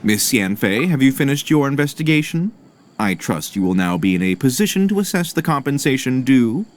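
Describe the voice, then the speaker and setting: An actor playing an argumentative, slippery merchant in a video game, speaking in polished, formal phrases with theatrical indignation. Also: male voice, medium pitch, very animated, a little fast, a little hiss or hum, lightly acted. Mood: smooth, expectant. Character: indignant, slippery male merchant arguing his case. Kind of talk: video game cutscene voice acting.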